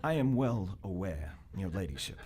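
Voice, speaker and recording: A man playing a stuffy, dutiful Victorian gentleman envoy, formal, precise and politely insistent, in live radio theater. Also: sits low, animated, normal speed, crowd noise. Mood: grave, deferential.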